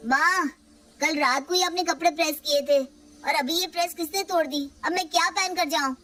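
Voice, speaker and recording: A woman's voice: extremely high pitch, normal speed, pushed and bright, faint music behind, pitched above the performer's own voice. A woman voicing a young husband who reasons patiently but gets exasperated with his mother. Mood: reasoning. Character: dutiful young husband, hesitant and torn.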